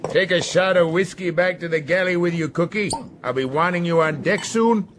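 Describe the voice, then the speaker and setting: An actor playing a domineering, hard-driving sea captain in a radio drama, blunt and commanding. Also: male voice, high voice, moderate pace, noisy background, lightly acted.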